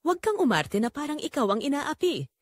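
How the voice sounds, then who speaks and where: A woman's voice: high-pitched, very animated, a little fast, no room sound, clean studio sound, voice pushed up. A woman voicing an angry, wounded adult daughter in an audio drama, speaking in a hard, accusing and commanding way. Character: angry wounded adult daughter confronting her father's mistress. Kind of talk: dramatic audio story dialogue.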